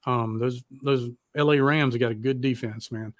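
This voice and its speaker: Low-key American man, blunt rambling talk. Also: fairly even intonation, moderate pace, dry recording. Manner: blunt, heavily profane, rambling. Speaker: co-host of a rock and metal talk show. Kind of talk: casual chat.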